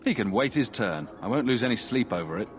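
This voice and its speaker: An actor playing a steady, dry-witted male adventurer hero in a game, firm and matter-of-fact. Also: on the low side, fast, faint music behind, close to an everyday voice.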